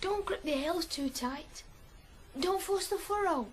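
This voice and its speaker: A very high, youthful voice with audible noise behind it: a passionate young farm lad in a radio play. He is defiant and easily moved to tears, earnest in every line. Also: a little slow. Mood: pleading, tender. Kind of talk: 1980s radio drama acting. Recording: noisy archive radio drama recording.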